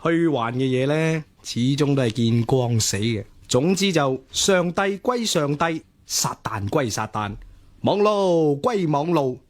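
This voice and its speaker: A man acting a detached character who makes deliberate, declarative pronouncements in a radio drama. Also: a little high, lively intonation.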